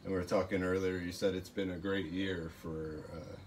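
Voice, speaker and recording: A man in his thirties with a fairly low voice hosts a livestream, asking curious, easygoing questions. He is recorded close on a phone in a small room with faint hiss. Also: little pitch movement, moderate pace. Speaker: livestream host. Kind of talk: casual interview. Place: small room with reed-panel wall.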